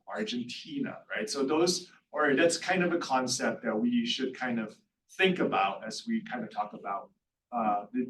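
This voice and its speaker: A man's voice: mid-range voice, a bit bright. A man from Singapore with a Singaporean accent, speaking on a panel and often checking in with "right?". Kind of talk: panel discussion talk. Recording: roomy hall, distant mic, faint noise.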